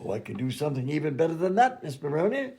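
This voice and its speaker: High-voiced, creaky eccentric doctor. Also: male voice, sing-song, quick pace, dark tone. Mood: breezy, fussy. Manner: fussy, polite, rambling. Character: eccentric, absent-minded male mad doctor and inventor, breezily unconcerned. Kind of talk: comedy radio-play acting.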